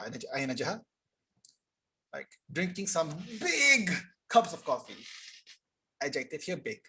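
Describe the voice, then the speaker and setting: Young male tutor, husky and a touch high, casual live online class, reads examples slowly. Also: fairly bright tone. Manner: casual, explanatory, reads sentences aloud slowly. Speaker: English teacher. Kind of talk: live online tutorial reading chat answers.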